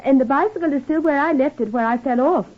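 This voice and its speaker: Casting: actress as polite, uneasy female farm manager, radio mystery, higher voice, phone-like old broadcast sound. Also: quick pace, natural voice. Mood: guarded, uneasy. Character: genteel, guarded, over-helpful female farm manager. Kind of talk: old-time radio drama acting. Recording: muffled, band-limited vintage radio recording.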